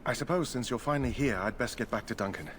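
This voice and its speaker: A man's voice: medium pitch, fast talker, noisy background, close to an everyday voice. A man voicing an earnest, courteous human knight recruit in a fantasy game, speaking in formal, polite phrases. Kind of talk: scripted fantasy game dialogue.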